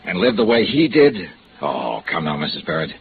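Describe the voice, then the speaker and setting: Gravelly hard-boiled radio detective. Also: male voice, low-pitched, very animated, normal speed, a little hiss or hum. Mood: wry, pressing. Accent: American. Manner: clipped, sardonic, pressing. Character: hard-boiled male insurance investigator, probing, cool, wry. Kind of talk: 1950s radio noir acting, with first-person narration. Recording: dull vintage radio recording, music behind.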